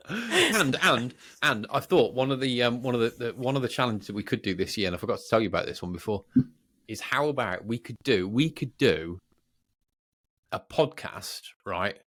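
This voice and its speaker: A man co-hosting a podcast, chatting casually in a jokey, teasing, animated way. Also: medium pitch, normal speed, long pauses, dry recording, studio quality. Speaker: podcast co-host. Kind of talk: casual banter between co-hosts.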